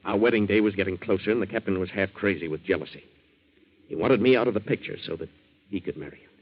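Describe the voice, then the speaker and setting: An actor playing an earnest, plain-spoken young American boat hand in a 1940s radio drama. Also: male voice, low-pitched, fast talker, husky. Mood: earnest explaining. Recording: muffled 1940s radio broadcast.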